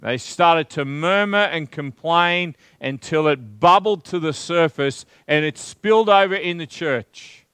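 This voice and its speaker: Australian pastor in his forties, relaxed conversational preacher, fairly high voice, closely miked and dry. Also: smooth, no echo. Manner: conversational preacher, asks the congregation questions, stresses words slowly. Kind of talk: sermon. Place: large church hall with carpeted stage.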